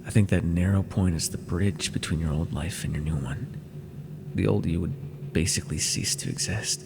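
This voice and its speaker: A man playing an ancient, brooding immortal villain in an intimate fantasy audio roleplay, with a halting, dramatic, gravelly delivery. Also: sits low, noisy background. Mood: hesitant, brooding. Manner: slow, halting, dramatic delivery with sighs and pauses. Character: ancient immortal villain, brooding, tormented, tender toward beloved mortal.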